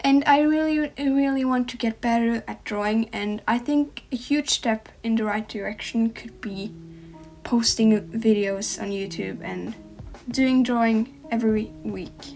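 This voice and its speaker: Hesitant Norwegian teenage girl, soft music. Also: fairly high pitch, measured pace. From Norway. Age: teens. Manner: rambling, hesitant, frequent ums. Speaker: art channel host. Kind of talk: casual chat to camera.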